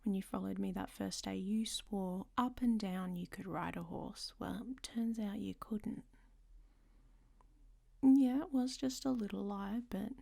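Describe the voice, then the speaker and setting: A woman's voice: medium pitch, vocal fry, dark tone, slightly rough, exaggerated voice. A woman playing a worldly, flirtatious traveler in an audio roleplay, speaking to the listener in a teasing, often half-whispered way.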